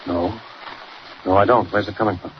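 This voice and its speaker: An American actor playing a cautious, plain-spoken local police chief in a 1940s radio serial. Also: male voice, on the low side, fairly even intonation, moderate pace, lightly acted. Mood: puzzled. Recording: muffled 1940s radio recording, faint noise.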